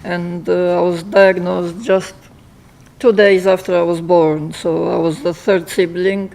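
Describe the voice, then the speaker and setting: High, even-pitched Greek-accented young man. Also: unhurried, vocal fry. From Greece. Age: 30s. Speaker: patient speaker telling their own story. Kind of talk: personal testimony in a public talk.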